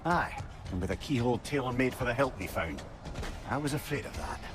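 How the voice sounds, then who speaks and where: An actor with a Scottish accent playing a witty, wordy old sage, a talking head, in a video game drama. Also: male voice, medium pitch, very animated, brisk, natural voice. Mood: irritated. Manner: wordy, wry, colloquial cursing. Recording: game music behind the voice.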